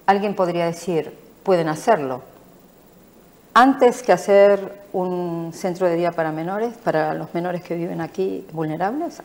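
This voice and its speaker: Lowish Argentine woman, emphatic. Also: darkish tone. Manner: emphatic and repetitive. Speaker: interviewed politician. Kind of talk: studio interview.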